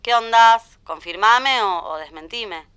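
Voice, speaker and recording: An actress with an Argentine accent playing a brisk, gossipy middle-aged mom friend in an audio drama. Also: female voice, a little high, bright, forceful tone, a little hiss or hum. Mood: gossipy, probing.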